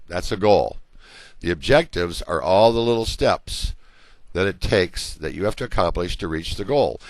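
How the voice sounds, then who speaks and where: A man's voice: low voice, dry recording. A man giving a recorded college management lecture over slides, conversational and full of everyday examples, while he is losing his voice.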